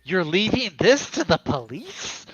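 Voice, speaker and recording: A man's voice: high voice, sing-song, unhurried, a bit bright, breathy edge, lo-fi sound. A man reading a comic book aloud casually, with theatrical amateur delivery.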